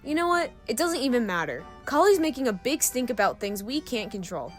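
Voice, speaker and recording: A mischievous, breezy young troublemaker voiced by a woman in an audio drama. The voice is on the high side and very animated, playful and glib, over faint music. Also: fairly quick. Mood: dismissive. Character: breezy, mischievous young troublemaker full of half-baked theories.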